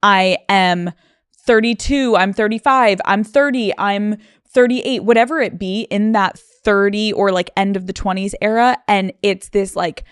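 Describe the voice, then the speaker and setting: Chatty woman host, mid-pitched and dry. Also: measured pace, clean tone, no room sound. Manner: enthusiastic, supportive, swears casually, mimics voices. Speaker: podcast host. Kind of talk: interviewing, casual chat. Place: podcast studio.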